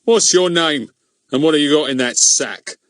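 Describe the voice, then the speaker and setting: A man's voice: mid-range voice, animated, moderate pace, a bit bright, no room sound, studio quality, voice pushed up, lightly acted. A man voicing a pompous, heavy middle-aged village policeman who barks bossy, threatening demands. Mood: bullying.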